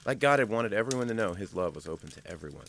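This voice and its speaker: A man's voice: fairly low pitch, animated, very fast, creaky, fairly bright tone, a little hiss or hum. A man playing a friendly, knowledgeable father in a family audio drama, explaining things casually. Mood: explanatory. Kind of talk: scripted conversational explanation.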